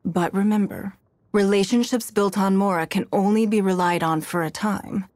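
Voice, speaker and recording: A woman's voice: mid-range voice, normal speed, a little mellow, dry recording, lightly acted. An actress playing a poised, shrewd and wealthy woman leader in a video game, with a composed, refined and authoritative manner. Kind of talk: video game character voice lines.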